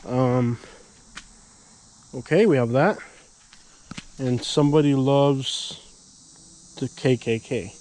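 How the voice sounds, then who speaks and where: A man in his thirties vlogging casually outdoors, chatting and thinking out loud with lots of fillers, filmed on a handheld camera. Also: mid-range voice, steady tone, slow, long pauses, rough recording. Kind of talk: casual walking vlog. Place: outdoors at an empty lot, open air. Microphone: handheld action camera at arm's length.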